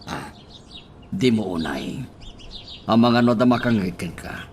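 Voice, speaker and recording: A man's voice: on the low side, lively intonation, takes it slowly, darkish tone, a little hiss or hum. A man acting an urgent, emphatic townsman in a radio drama. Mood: sly, amused. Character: Leon, urgent and animated man of the town.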